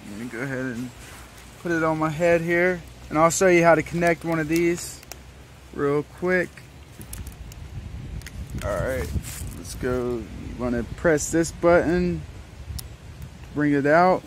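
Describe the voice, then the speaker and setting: A man's voice: on the high side, measured pace, pauses often. A young adult man giving a casual product demonstration to camera as a reviewer, speaking outdoors. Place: outdoors in a wooded yard. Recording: outdoor camera audio with background noise.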